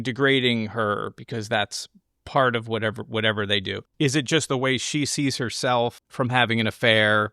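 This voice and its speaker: A man's voice: medium pitch, fairly bright tone, no room sound, studio quality. A man hosting a film podcast, explaining his take in casual, think-aloud analysis. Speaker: podcast host.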